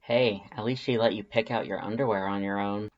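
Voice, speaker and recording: A narrator reading fan fiction aloud in an expressive, scripted storytelling style. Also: low voice, fairly quick, lo-fi sound.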